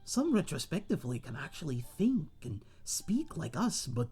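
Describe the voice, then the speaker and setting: A man's voice: on the high side, big pitch swings, dark tone, breathy edge, faint music behind, heavily acted. A man playing a friendly, rambling tavern owner and seasoned adventurer, telling tales to one listener in a folksy, chatty way in a fantasy audio roleplay. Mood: wistful, reflective. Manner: chatty, folksy, dramatic pauses, calls listener mate.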